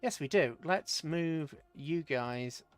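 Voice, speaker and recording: A man's voice: fairly high pitch, slow, dry recording. British man, livestream game commentary, casual rambling asides, dry close sound, faint music behind. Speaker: livestream host playing a strategy game. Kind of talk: casual live game commentary, chatting with viewers.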